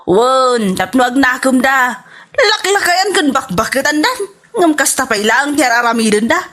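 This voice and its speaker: A woman's voice: high voice, lively intonation, a little slow, fairly bright tone, full character voice. A woman with an Ilocano accent playing a gossipy, spiteful neighbor in a radio comedy drama. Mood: gossipy, indignant.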